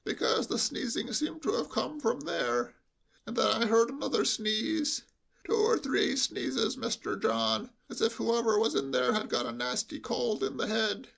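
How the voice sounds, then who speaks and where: A bleating old butler. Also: male voice, expressive, vocal fry, slightly rough, full character voice. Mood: timid, confiding. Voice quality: high bleating quaver. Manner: slow, deferential, hushed. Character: old male butler, bleating, timid and wordy.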